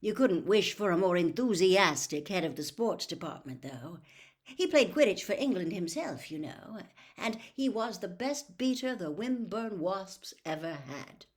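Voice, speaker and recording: A woman plays a middle-aged wizard father from a storybook. He is genial and enthusiastic, eager about everything and quick to get excited, with an encouraging way of talking to the youngsters. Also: medium pitch, lightly acted. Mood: genial, mild. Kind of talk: storybook character voice.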